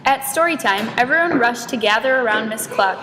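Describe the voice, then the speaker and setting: High-voiced woman reading in echoey room. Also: animated, brisk. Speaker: storybook reader. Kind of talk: expressive children's read-aloud. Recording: echoey reverberant room, faint noise.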